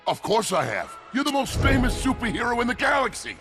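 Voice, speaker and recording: A cartoonish alien jailbird for a game. The voice is high and gravelly, swinging wildly in pitch, boastful and excitable, with music clearly behind it. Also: male voice, brisk, full character voice. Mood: starstruck, excited. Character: boastful male alien convict, excitable and starstruck.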